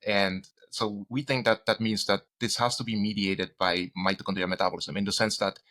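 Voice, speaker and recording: A man, a medical researcher interviewed as a guest, explaining technical ideas in a hesitant, halting way. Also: on the low side, little pitch movement, bright, forceful tone. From Czech Republic, grew up in Spain. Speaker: guest, doctor and cancer researcher.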